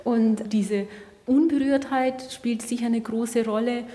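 A woman's voice: a little high, steady tone, slow pace, dark tone. A woman curator explaining things spontaneously, as on a guided tour through a gallery. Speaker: exhibition curator, interviewee. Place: large indoor museum gallery. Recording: very reverberant gallery hall.

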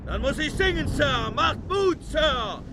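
Nervous sailor in radio play, super high pitch, excitable, drawn out, loud storm noise. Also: male voice, a little slow. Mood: nervous bravado. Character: jittery sailor singing to keep up his courage. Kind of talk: German radio drama acting. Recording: very noisy storm effects behind voice.

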